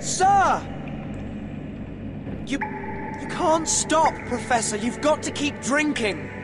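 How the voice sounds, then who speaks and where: An actor with an English accent playing an earnest, determined teenage schoolboy wizard in a video game. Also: male voice, very high-pitched, sing-song, slow pace, loud music behind the voice, exaggerated voice. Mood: urgent.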